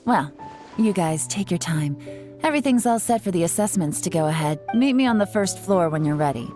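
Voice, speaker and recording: An actress playing a confident, teasing young covert operative in a video game, with a sly, unhurried delivery. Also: female voice, lowish voice, expressive, warm tone, soft background music, close to an everyday voice. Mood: breezy, confident. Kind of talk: scripted video game dialogue.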